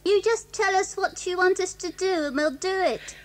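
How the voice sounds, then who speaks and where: Very high-pitched fox cub. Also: female voice, moderate pace. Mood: eager. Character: small, hungry, earnest fox cub. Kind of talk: children's audio story dramatisation.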